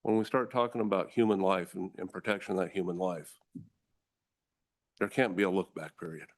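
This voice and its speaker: A deep, low-pitched American man testifies before a legislative committee. He speaks with forceful conviction. He addresses the room directly, like a prosecutor making his case. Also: steady tone, a little fast. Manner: forceful, earnest, addresses the room as ladies and gentlemen. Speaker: district attorney testifying.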